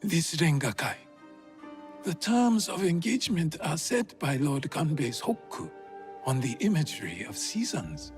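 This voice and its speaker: Game role: solemn feudal lord, probing and measured, fairly high expressive voice, music underneath. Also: male voice. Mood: grave, philosophical. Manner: measured, formal, deliberate. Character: grave, probing feudal Japanese lord, reflective and regretful. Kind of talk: video game cutscene acting.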